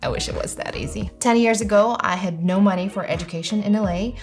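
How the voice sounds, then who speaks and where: A woman's voice: mid-range voice, fast, soft background music. Kazakh-accented woman telling her story. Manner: earnest, reflective, lists in short phrases. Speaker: filmmaker vlogger. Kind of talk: personal storytelling to camera.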